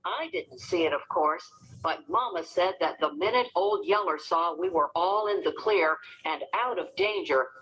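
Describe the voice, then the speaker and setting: A woman reads a children's adventure story aloud. Her voice sits a little low for a woman, and she tells it expressively, with a storyteller's sense of drama. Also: a bit bright, breathy edge, rough recording. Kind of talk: read-aloud storybook narration.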